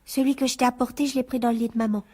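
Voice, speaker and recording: An actress playing a frightened, pleading teenage daughter in a French radio drama, anxious and insistent. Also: female voice, fairly high pitch, flat delivery, rapid-fire, dark tone, close to an everyday voice.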